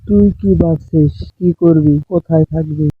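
A man's voice: fairly high pitch, steady tone, normal speed, slightly rough, no room sound, phone-quality sound. A man playing a concerned friend of an orphaned young man in a cartoon story. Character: concerned male friend offering shelter to an orphan.

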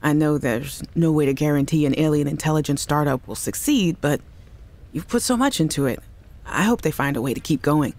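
A woman's voice: deep voice, expressive, fairly quick, darkish tone. A woman voicing an upbeat young woman recording chatty, giggly audio messages to her little sister in a game. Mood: cheerful. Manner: cheerful, chatty, giggly, affectionate. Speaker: game character in audio logs. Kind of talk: voiced game audio log.

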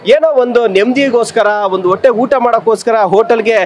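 A man's voice: extremely high pitch, brisk. A young man reporting on location outside a city restaurant, speaking into a handheld mic with frequent "aa" fillers. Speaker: field reporter. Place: outdoors in front of a busy city restaurant. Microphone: handheld mic held close.

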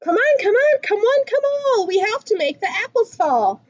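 A young adult woman reading a rhyming picture book aloud to children in an expressive, singsong way. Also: very high voice, moderate pace, muffled recording. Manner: animated, singsong rhyming delivery. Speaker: children's story reader.

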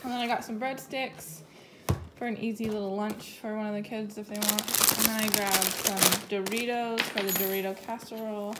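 Casual mom vlogger, thirties, easygoing chatty tone, mid-pitched voice, phone-recorded kitchen with heavy noise. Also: female voice, a little fast. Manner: relaxed, chatty listing with fillers. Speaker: mother filming a grocery haul. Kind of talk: casual grocery haul narration. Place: home kitchen, indoors. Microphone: phone camera held over counter. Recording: noisy home phone recording. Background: loud background noise, kids talking.

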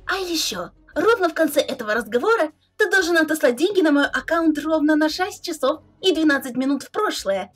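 Casting: woman as teenage alien troll girl, bossy and teasing, high and swooping, theatrical webcomic dub. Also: animated, brisk, few pauses, soft background music. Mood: bossy, playful. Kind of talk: fan dub of a webcomic chat.

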